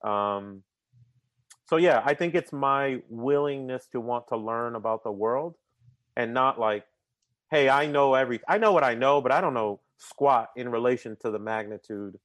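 A man's voice: medium pitch, normal speed, dry recording. Talkative man with a dry close sound. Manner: energetic, talkative, repeats words when starting sentences; uses casual slang like "man" and "bruh". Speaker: podcast guest. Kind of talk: casual interview conversation.

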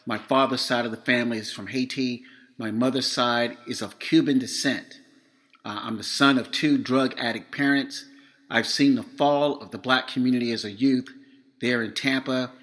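Slightly hoarse man hosting a podcast. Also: mid-range voice, steady tone, normal speed, a bit bright. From Florida, USA. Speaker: podcast host. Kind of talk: prepared podcast monologue.

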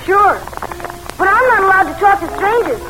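An actress playing a chatty, boastful small-town schoolboy in an old-time radio drama, blurting things out eagerly. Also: female voice, extremely high pitch, lively intonation, moderate pace. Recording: noisy old radio recording.

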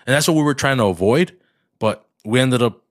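A man's voice: mid-range voice, expressive, fairly quick, dry recording, clean studio sound. A young man co-hosting a casual podcast, congested and coughing, slipping Spanish words into his English and swearing often. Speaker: podcast co-host.

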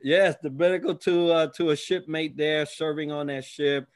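A man's voice: a little high, little pitch movement, normal speed. A man hosting an interview podcast, speaking in a deferential, somewhat formal way to his guest. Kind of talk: interview with scripted intro.

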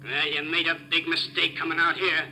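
A man playing a gloating American gangster killer with a gravelly voice in a 1940s radio thriller. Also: a little high, little pitch movement, brisk, sharp tone. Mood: gloating menace. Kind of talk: 1940s radio crime drama acting. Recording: faintly noisy old radio broadcast.

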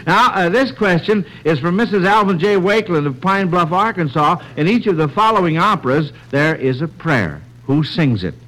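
A man's voice: high-pitched, lively intonation, moderate pace, few pauses, a bit bright, low-quality recording. An American man hosting a quiz show in the scripted style of old radio, reading out questions on a vintage 1940s broadcast.

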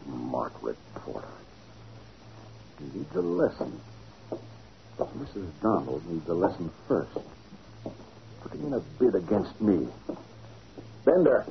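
An actor playing a sly, smug crooked contractor with oily courtesy, in old-time radio drama style. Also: male voice, low voice, huge pitch swings, slow pace, gravelly, long pauses, exaggerated voice. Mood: irritated, scheming. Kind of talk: 1940s radio acting. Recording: dull, noisy old radio recording.

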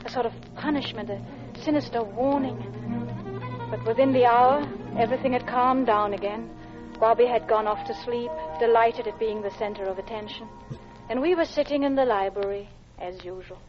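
A woman's voice: on the high side, moderate pace, natural voice. Anxious married woman in vintage radio drama played by an actress. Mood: wistful, confessional. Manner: polite, hesitant, confessional. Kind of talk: classic radio drama acting, with intimate narration. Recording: dull old radio recording with music.

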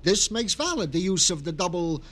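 Male, American, comic sidekick sergeant in vintage radio drama: high, very animated, fussy, eager. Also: normal speed. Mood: earnest. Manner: muddled, over-formal wording. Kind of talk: 1950s radio comic-relief acting.

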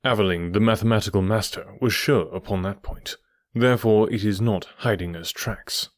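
A man voicing a brisk, methodical middle-aged male detective who explains his reasoning with precise confidence. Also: fairly low pitch, no echo, studio quality, lightly acted. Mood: analytical.

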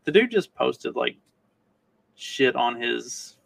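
A man chatting casually about politics as a podcast co-host, in a halting, questioning way. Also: mid-range voice, very animated, gravelly, fairly bright tone.

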